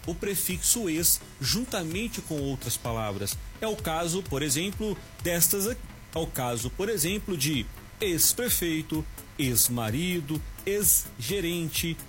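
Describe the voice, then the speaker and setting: A man teaching a short radio grammar lesson, explaining slowly and deliberately. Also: a little high, fairly bright tone.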